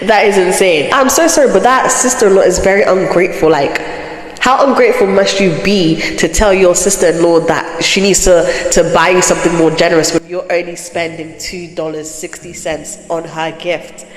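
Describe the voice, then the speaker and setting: A woman's voice: on the low side, moderate pace. A woman hosting a crochet channel, chatting with a blocked, nasal voice from a cold, reacting animatedly and laughing in disbelief. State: has a cold, blocked nose. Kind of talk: chatty reading and reacting to online posts. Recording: echo effect with long reverb.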